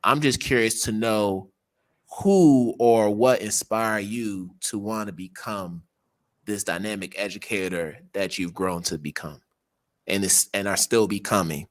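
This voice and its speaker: A man's voice: lowish voice, unhurried. A man hosting a podcast, asking long, earnest questions and affirming his guest. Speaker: podcast host. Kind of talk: interview questions.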